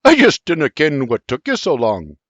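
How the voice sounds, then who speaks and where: A man's voice: a little high, huge pitch swings, normal speed, a bit bright, no echo, muffled recording, exaggerated voice. A man voicing a fatherly, joking old Scottish nobleman in broad dialect. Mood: hearty. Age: older. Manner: boisterous, teasing, broad dialect.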